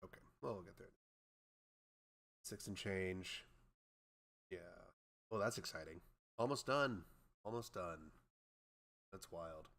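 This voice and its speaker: A man's voice: sits low, lively intonation, slow, pauses often, a bit bright. A man working as an air traffic controller, casually reading and answering viewer questions, informal and thinking aloud as he goes. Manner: informal, thinking aloud, imitates beeps and radio calls.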